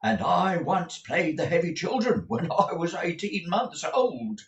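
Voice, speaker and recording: A man voicing a bombastic middle-aged theatre manager, loud and theatrical, in a roomy echo. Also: sits high, sing-song, unhurried, recorded from a distance, muffled recording, voice pushed up, full character voice. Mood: boastful.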